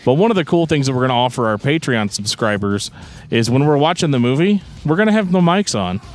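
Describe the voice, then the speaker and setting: A man's voice: mid-range voice, expressive, quick pace. A man co-hosting a podcast in casual chat, explaining things in a joking, rambling way. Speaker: podcast co-host.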